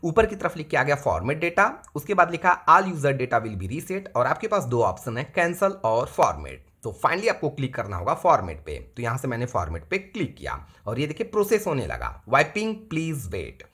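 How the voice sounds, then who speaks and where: Husky man walking through a phone tutorial. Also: medium pitch, sing-song, a little fast, hardly any pauses, fairly bright tone. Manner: repetitive, addresses viewers as friends. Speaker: phone repair tutorial host. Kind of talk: step-by-step tutorial narration. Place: indoors at a table, hands-only camera view.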